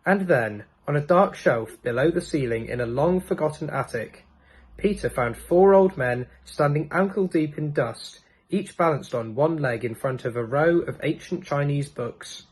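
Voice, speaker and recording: Lively, higher-voiced man reading a storybook. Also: moderate pace, breathy edge, poor audio. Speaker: storybook reader. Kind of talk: scripted narration of a children's storybook.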